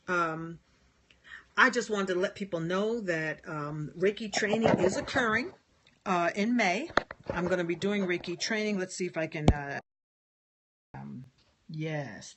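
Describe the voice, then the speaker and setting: A speaker from New York and New Jersey with a New York area accent, a spiritual healer giving a meandering, gentle live-stream talk to viewers and laughing often. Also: medium pitch, a little hiss or hum, rough recording. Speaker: spiritual healer and Reiki teacher.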